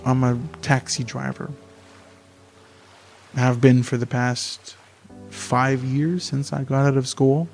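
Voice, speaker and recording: A man acts a young taxi driver in an amateur radio play, content and soothing. He talks slowly and haltingly at a medium pitch, with faint music behind him. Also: little pitch movement, gravelly, darkish tone. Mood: easygoing. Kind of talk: amateur radio theatre acting.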